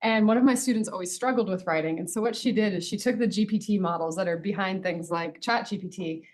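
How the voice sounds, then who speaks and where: A woman engineering professor on a panel, explaining an idea in a measured way. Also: mid-range voice. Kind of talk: panel discussion.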